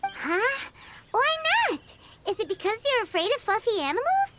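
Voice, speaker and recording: An actress voicing a tiny, chirpy, excitable female companion sprite in a game, with a very high, squeaky, childlike voice. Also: normal speed, heavy background noise. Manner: excitable, chatty, teasing. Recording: game audio with loud music.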